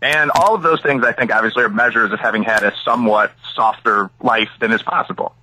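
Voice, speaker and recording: A man's voice: mid-range voice, a little fast, bright, forceful tone, breathy edge, rough recording. A middle-aged American man from the Chicago area giving analytical, opinionated interview answers as an academic, full of asides and examples. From Chicago area, United States. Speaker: interview guest, academic and author.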